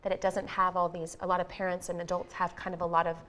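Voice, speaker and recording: A woman's voice: lowish voice, little pitch movement, quick pace, fairly bright tone. Earnest woman music teacher explaining. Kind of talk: interview answers.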